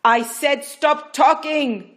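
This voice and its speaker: A woman's voice: high voice, steady tone, takes it slowly, pitched above the performer's own voice. A woman voicing a stern, urgent female midwife who barks firm orders during a birth. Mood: commanding.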